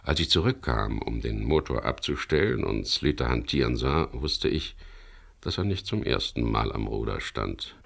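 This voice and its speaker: An actor playing a dry, businesslike skipper in a radio crime drama, with a gravelly voice. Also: male voice, rumbling low, sing-song, moderate pace, a bit bright, exaggerated voice. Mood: sober, reflective. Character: wary, businesslike skipper of a chartered sailboat, also narrating. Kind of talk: 1970s German radio crime drama, narration and dialogue.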